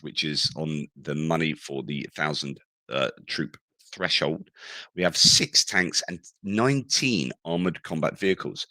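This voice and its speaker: A man with a hoarse, croaky voice, giving a casual spoken war news update, rambling and often correcting himself mid-sentence. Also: extremely low pitch, bright, forceful tone, no room sound. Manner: rambling, with frequent self-corrections. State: hoarse from shouting at concerts.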